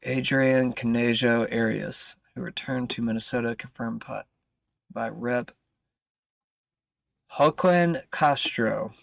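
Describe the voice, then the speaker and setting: An American man doing casual livestream news commentary, reading articles aloud in an informal, halting way with frequent asides to himself. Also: medium pitch, gravelly, no room sound. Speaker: streamer and commentator.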